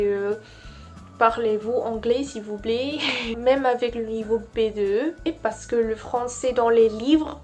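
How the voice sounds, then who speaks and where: A woman's voice: on the high side, a little mellow. A young woman from Vietnam with a Vietnamese accent, talking to camera in a careful, hesitant way with frequent fillers. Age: young adult. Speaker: vlogger. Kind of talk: casual talk to camera.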